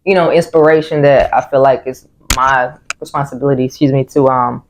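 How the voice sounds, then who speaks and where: Female singer guest, slightly deep voice, calm and measured, pauses to think. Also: slight background noise, low-quality recording. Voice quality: a little deep. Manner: calm, thoughtful, many pauses and fillers. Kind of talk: casual interview chat.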